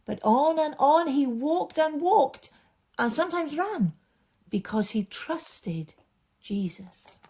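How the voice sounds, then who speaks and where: A woman reading and explaining a story for children, with gentle dramatic phrasing that often drops into a hush. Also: high voice, expressive. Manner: dramatic, deliberate phrasing, often breathy and hushed.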